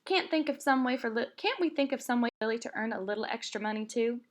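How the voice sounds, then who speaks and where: A woman voicing a kind, upbeat immigrant father in a read-aloud storybook, reading gently and reassuringly. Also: a little high, quick pace, lightly acted. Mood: gentle.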